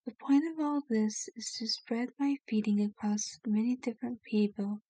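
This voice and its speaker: A woman playing a kind, genteel vampire in an ASMR roleplay, speaking gently and soothingly with formal wording and a soft, sometimes breathy voice. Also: on the high side, moderate pace, dry recording, natural voice.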